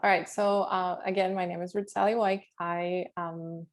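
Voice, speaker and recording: A woman's voice: fairly low pitch, level tone, moderate pace. Chatty woman from Puerto Rico. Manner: warm, laughing often, many fillers. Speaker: guest alumna, architect. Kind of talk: video call interview.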